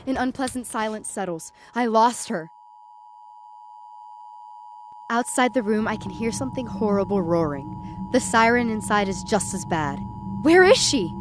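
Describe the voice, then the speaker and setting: A woman's voice: medium pitch, lively intonation, normal speed, background noise, natural voice. A woman playing a frightened, dazed young woman who narrates her nightmare in the first person, intense and dramatic. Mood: tense, hushed, then alarmed, urgent. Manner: intense, dramatic, swinging from hushed to urgent.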